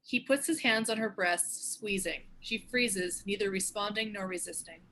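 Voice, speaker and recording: A woman's voice: medium pitch, moderate pace, noisy background. A woman narrating the stage directions of a screenplay in a scripted read.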